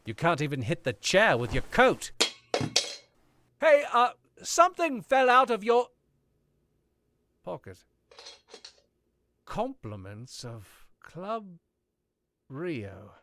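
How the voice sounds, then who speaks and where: A man's voice: high voice, wildly swooping pitch, slow pace, long pauses, bright, forceful tone, heavily acted. A man playing a suave, dryly teasing author. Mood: probing. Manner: dry, teasing, urbane. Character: suave witty older male author. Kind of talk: old-time radio drama acting.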